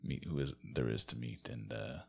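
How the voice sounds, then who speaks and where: Extremely deep, gravelly man at a game table. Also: normal speed, darkish tone. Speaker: player in a tabletop game podcast. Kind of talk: casual in-character and descriptive game talk.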